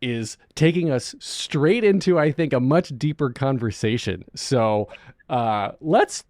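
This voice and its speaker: Lively, chatty man host. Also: medium pitch, slow pace, no room sound, studio quality. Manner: upbeat, chatty, uses fillers. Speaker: podcast host. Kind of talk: casual interview chat.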